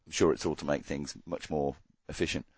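An Australian man co-hosting a casual podcast chat, ranting in animated mock disgust. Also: extremely low pitch, a little fast, husky, no room sound, muffled recording. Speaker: podcast co-host.

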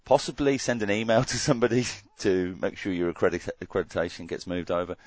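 Australian man ranting, low voice. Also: fast talker, no room sound, muffled recording. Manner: animated, mock-disgusted rants. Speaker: podcast co-host. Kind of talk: casual chat.

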